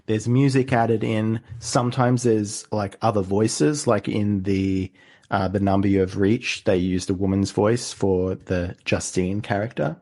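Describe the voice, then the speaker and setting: A man with a fairly low voice hosts a casual podcast discussion. He explains things loosely and haltingly, feeling his way through each point. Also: fairly even intonation, a little mellow. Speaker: podcast host.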